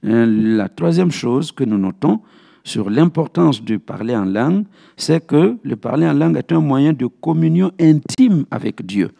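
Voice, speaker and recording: Male pastor for Bible study teaching; creaky, relaxed voice, didactic and repetitive for emphasis. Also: on the high side, a little slow. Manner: didactic, repeats key phrases for emphasis. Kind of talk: Bible teaching with verse citations.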